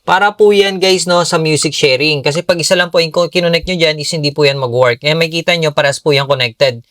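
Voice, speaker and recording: A man's voice: a little high, fast, fairly bright tone, no echo, clean studio sound. A Filipino man giving a casual, upbeat product tutorial in a conversational way, often addressing his viewers directly. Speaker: product reviewer and tutorial presenter.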